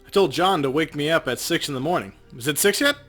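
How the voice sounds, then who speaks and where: A man's voice: a little high, expressive, fast, fairly bright tone, faint music behind. A man voicing a laid-back, cocky male street shaman from a cyberpunk game in a wry, casual way.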